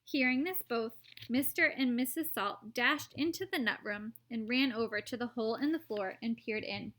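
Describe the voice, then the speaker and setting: A woman reading a children's story aloud in an expressive, theatrical narrating style. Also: a little high, moderate pace.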